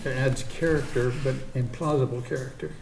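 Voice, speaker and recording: A man on a town commission asking deliberate, probing questions at a public meeting in a roomy hall. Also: medium pitch, fairly even intonation, darkish tone, slight background noise. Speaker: commission member.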